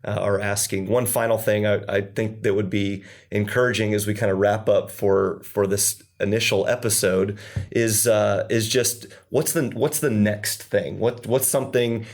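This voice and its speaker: A man's voice: on the low side, a little mellow. A man co-hosting a local community podcast, upbeat and promotional, with fillers and restarts. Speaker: podcast co-host.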